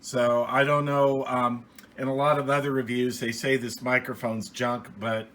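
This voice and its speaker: A man's voice: mid-range voice, level tone, normal speed, fairly bright tone. A middle-aged American man giving a casual, plainspoken, rambling product review from a small home office. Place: small indoor home office radio room.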